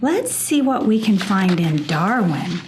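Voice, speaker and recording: A woman voicing a loving, determined grandmother possum in a children's storybook, excitable and encouraging. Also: medium pitch, measured pace, faint music behind. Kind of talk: children's storybook read-aloud.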